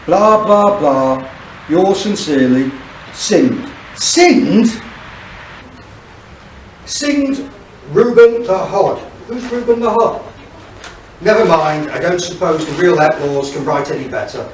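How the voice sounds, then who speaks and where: A man's voice: sits high, takes it slowly, long pauses. A man playing a scheming, mocking sheriff villain in theatrical pantomime style. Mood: exasperated mocking. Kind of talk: stage pantomime acting. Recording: echoey room, distant mic, hiss.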